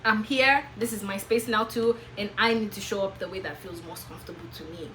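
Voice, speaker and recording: A woman's voice: medium pitch, a little fast, a bit bright, slight background noise. A woman in her twenties with a Kenyan accent, telling a personal story to camera in an emphatic, preachy way. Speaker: vlogger.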